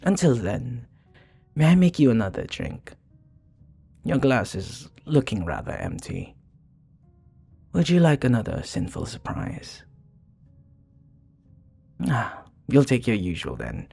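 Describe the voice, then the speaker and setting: A man's voice: mid-range voice, wildly swooping pitch, slow pace, creaky, long pauses, warm tone, breathy edge, soft background music, exaggerated voice. A man playing a charming, sincere vampire bartender who flirts tenderly and hesitantly in an intimate ASMR roleplay. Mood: playful, charming.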